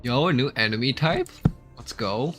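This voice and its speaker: Male gaming streamer: average register, relaxed slangy remarks, faint background music. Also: mid-range voice, moderate pace. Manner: slangy, offhand. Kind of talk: casual game commentary.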